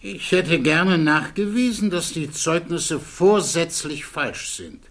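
A defence lawyer in a 1960s radio play. His voice is fairly high and distinctly gravelly. He makes his challenges formally and firmly. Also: lively intonation, takes it slowly, a bit bright, exaggerated voice. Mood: assertive. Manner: formal, assertive. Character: firm, challenging male defence lawyer at a hearing. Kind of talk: 1960s German radio drama acting.

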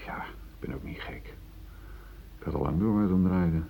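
An actor playing a troubled middle-aged factory worker, defensive and brooding, in a radio play. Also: male voice, low-pitched, moderate pace, gravelly, in a whisper. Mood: defensive, uneasy. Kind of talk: Dutch radio play acting. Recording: dull, muffled old radio play recording.

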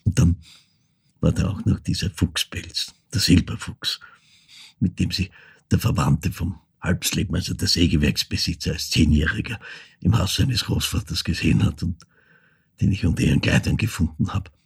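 Husky, brooding old son. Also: male voice, low-pitched, exaggerated voice. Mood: wistful, mournful. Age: older. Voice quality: husky, gravelly, breathy. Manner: halting, hushed, reflective storytelling in short phrases. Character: brooding elderly son recalling his dead mother. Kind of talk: radio drama monologue.

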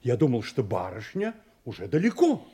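An actor playing an agitated, guilt-ridden man giving a dramatic confession in an audio play, with a husky voice and a halting, then rushing delivery. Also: medium pitch, wildly swooping pitch, warm tone. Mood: agitated, confessing.

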